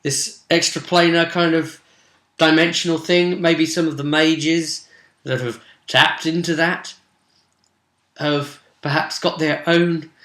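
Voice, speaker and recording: A man casually rambling through a recap of a tabletop role-play session as its game master, jokey and animated, often interrupting himself. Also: a little high, takes it slowly. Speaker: game master and vidcast host. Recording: echoey, roomy sound.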